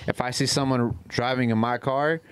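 A man's voice: mid-range voice, fairly even intonation, normal speed, clean tone, dry recording. A young man chatting casually on a podcast, with slangy, profane phrasing. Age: young adult. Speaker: podcast co-host. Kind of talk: casual group chat. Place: small room with couches, indoors.

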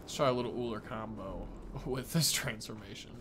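A man's voice: medium pitch, moderate pace, background noise. A man in his thirties giving informal, loose game showcase commentary, thinking aloud as he plays. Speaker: gaming video creator. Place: small indoor room. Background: game music.

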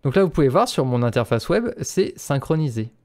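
A man's voice: mid-range voice, big pitch swings, a little fast, darkish tone. A man presenting an instructional screencast as a voice-over. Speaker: tutorial presenter.

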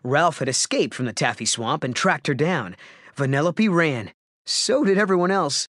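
Animated higher-voiced man narrating a storybook. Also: normal speed, dry recording, clean studio sound. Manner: expressive, storytelling emphasis. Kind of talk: scripted children's story narration.

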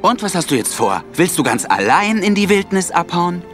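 An actor playing a conceited, dramatic lion in an animated film radio play, theatrical and exclamatory. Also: male voice, high-pitched, normal speed, faint music behind. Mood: persuasive. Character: conceited, dramatic male lion, zoo star.

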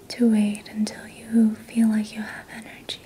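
A young woman whispering gently and reassuringly in a guided ASMR relaxation, leaving long pauses. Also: mid-range voice, monotone, a little hiss or hum. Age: young adult. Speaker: ASMR host doing a healing roleplay. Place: small indoor room at night.

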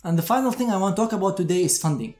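Higher-voiced man explaining in a roomy space. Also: animated, rapid-fire, a little mellow. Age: 30s. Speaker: doctor presenting advice to medical graduates. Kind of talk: scripted explainer to camera. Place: indoor room with shelves, seated. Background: music.

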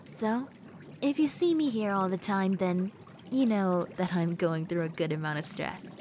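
A woman acts out a friendly young woman relaxing with her crush in an audio roleplay. She speaks slowly at a medium pitch, intimate and gently teasing. Hot tub water runs clearly behind her voice. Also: natural voice. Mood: relaxed, content. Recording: steady running water noise behind voice. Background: bubbling hot tub water sounds.

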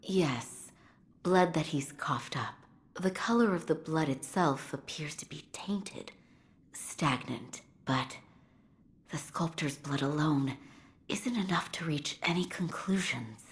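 An actress playing a calm, studious physician in a feudal fantasy video game, measured, soft-spoken and serious. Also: female voice, deep voice.

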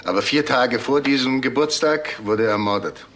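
An actor playing a brisk, businesslike police colleague in a German crime radio drama. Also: male voice, medium pitch, fairly bright tone, slight background noise, lightly acted.

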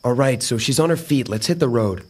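A man playing an eager, emotional teenage boy sidekick in a motion comic, earnest and excitable. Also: medium pitch, fairly quick, lightly acted. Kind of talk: motion comic dramatic acting. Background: prominent background music.

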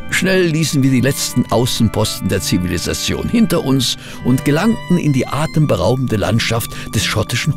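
An actor playing an excitable, polite gentleman sidekick in a German radio play, often puzzled and exclamatory. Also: medium pitch, creaky. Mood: calm, narrating. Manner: flustered, hesitant, exclamatory.

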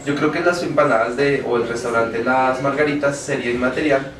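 A young man, a gastronomy lecturer calmly explaining ideas in an interview in a small office. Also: medium pitch, brisk, roomy sound, distant mic, a crowd in the background. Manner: explanatory, academic, casual slang. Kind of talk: interview answers.

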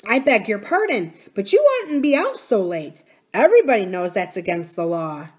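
A woman's voice: mid-range voice, very animated, moderate pace, lightly acted. Woman voicing a bold, cheerful young miner boy. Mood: matter-of-fact, bold. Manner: plain-spoken, matter-of-fact, self-assured. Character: confident cheerful twelve-year-old miner boy, blunt and fearless.

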